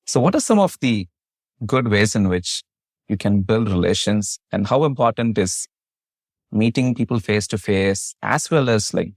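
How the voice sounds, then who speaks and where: A man, a physician for whom English is a second language, hosting a podcast interview with measured, formal, carefully built questions. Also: fairly low pitch, no room sound, studio quality. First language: not English. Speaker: host, physician.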